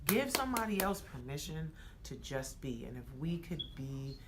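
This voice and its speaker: Low-voiced, emphatic American woman. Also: moderate pace, background noise. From United States. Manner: animated, emphatic, rising to excited peaks; acts out quoted speech. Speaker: podcast co-host. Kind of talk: casual conversation.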